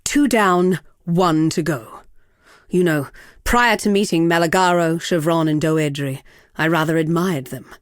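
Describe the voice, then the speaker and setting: An actress performs a villainous sorceress for a fantasy video game. Her womanly voice sits fairly low and is recorded dry, with no room around it. She speaks deliberately and theatrically, haughty and seductive, savouring each taunt with cruel poise. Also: no echo, lightly acted. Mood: wry, bitter. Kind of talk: dramatic video game character acting.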